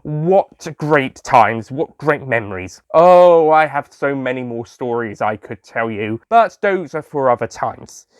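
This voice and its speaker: A man's voice: mid-range voice, animated, measured pace, few pauses, clear, resonant tone, dry recording, studio quality, natural voice. A man playing a jolly, sentimental male sweet-shop owner who tells his own story in a fond, theatrical manner for an audio drama.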